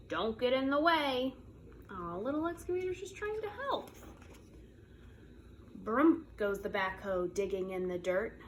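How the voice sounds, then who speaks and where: A woman reads a children's story like a preschool teacher, with big swings in pitch and an unhurried pace. She is playful and expressive, lingering on sound words, with faint noise behind her. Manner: animated, playful, drawn-out sound words and repetitions. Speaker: preschool teacher reading aloud. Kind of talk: expressive children's picture book read-aloud.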